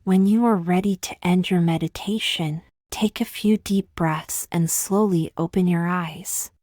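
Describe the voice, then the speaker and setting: A woman with a slightly low voice guides a beginner meditation. She speaks softly and breathily, at times close to a whisper, in a gentle, soothing manner with long pauses. The sound is dry, with no room echo. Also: fairly even intonation. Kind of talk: scripted guided meditation narration.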